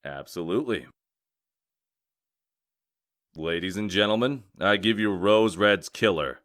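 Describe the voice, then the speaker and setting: Man acting a low-voiced, gruff detective. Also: a little slow, fairly bright tone, no echo, studio quality. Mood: assured, showman-like. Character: gruff male wolf detective cop, dry, theatrical about revealing culprits. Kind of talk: comic dub voice acting.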